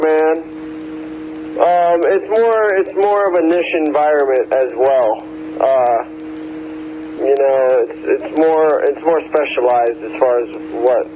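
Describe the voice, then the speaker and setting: A man's voice: on the high side, darkish tone. A man chatting casually about technical things over two-way radio, laid-back and slangy as a ham operator. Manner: laid-back slangy talk, often saying "man" and "you know". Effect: two-way radio transmission. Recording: noisy ham radio repeater audio, hum.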